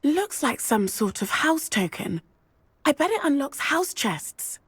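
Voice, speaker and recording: A woman's voice: sits high, very animated, unhurried, fairly bright tone, no echo, full character voice. A woman voicing an eager, curious, chatty young schoolgirl in a video game.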